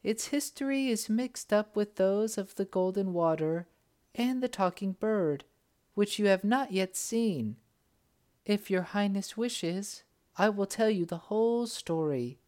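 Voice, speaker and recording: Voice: mid-range voice, expressive, unhurried, a little mellow, voice pushed up, close to an everyday voice. A storyteller voicing a gracious young princess who speaks formally and courteously.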